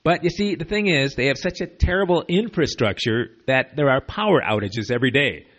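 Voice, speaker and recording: A man's voice: medium pitch, brisk, a bit bright, dull audio. A man from the United States with a slight lisp, giving opinionated, digressive commentary as a podcast host on makeshift equipment away from his usual studio. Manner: sardonic, digressive, conversational. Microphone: makeshift borrowed equipment.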